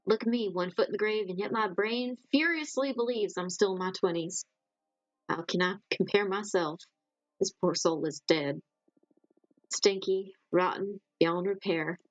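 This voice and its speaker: A woman voicing an old necromancer witch, sardonic and gruff but secretly kind, blunt and theatrical in a dramatic story. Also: lowish voice, unhurried, dry recording, soft background music, lightly acted, poor audio. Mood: wistful.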